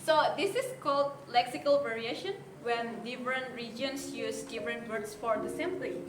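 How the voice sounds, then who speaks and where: A young woman co-hosting a podcast, agreeing warmly and moving the talk to the next point. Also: a little high, normal speed. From Indonesia. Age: young adult. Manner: agreeable, steers the talk along. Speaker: podcast co-host. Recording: roomy sound with loud music bed.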